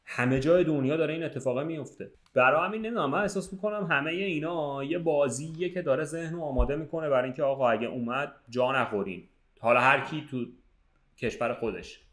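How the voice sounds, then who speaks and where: A man's voice: on the high side, fairly bright tone. A young man hosting a podcast in casual chat, arguing his points informally. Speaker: podcast host. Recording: roomy, echoing sound.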